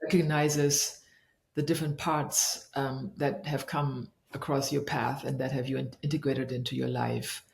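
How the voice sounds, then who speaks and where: A middle-aged woman from Germany, a leadership coach, answering interview questions thoughtfully and pausing often to search for her words. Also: rumbling low, flat delivery, creaky, darkish tone. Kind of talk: reflective interview conversation. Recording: echoey room.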